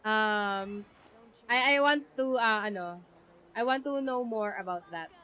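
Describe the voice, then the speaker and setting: A woman's voice: on the high side, measured pace, pushed and bright, rough recording. A young woman with a Filipino accent streaming a game, chatting in a rambling way with many fillers. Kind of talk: live gameplay commentary.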